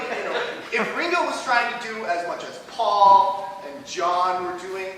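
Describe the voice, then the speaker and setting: A man's voice: sits high, pushed and bright, slight background noise. An American man doing a rambling improv monologue on stage, laughing at his own stories. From United States. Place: small theater stage. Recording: echoey hall from far off, with crowd.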